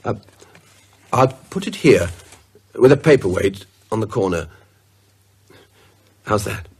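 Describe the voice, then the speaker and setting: A bookish scholar in a radio play. His voice is low and husky, and he speaks carefully and hesitantly, weighing things with a sceptical, slightly absent-minded precision. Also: expressive, takes it slowly, heavily acted. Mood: cautious, puzzled. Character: bookish, methodical academic man, cautious, sceptical, absent-minded. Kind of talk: radio drama acting.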